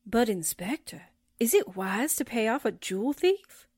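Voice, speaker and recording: A folksy, anxious newspaperwoman's sidekick from a 1940s radio play, acted by a woman. The voice is fairly high and fretful, with a dry, close sound. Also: very animated, measured pace, a little mellow, husky, no echo, heavily acted. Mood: alarmed. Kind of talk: 1940s radio drama acting.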